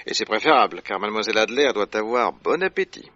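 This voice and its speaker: A man acting in a radio drama as a shrewd, commanding, self-assured detective with a brisk, sly manner. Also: fairly low pitch, expressive, bright, forceful tone, no room sound. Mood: assured explaining. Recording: dull, dry radio drama recording.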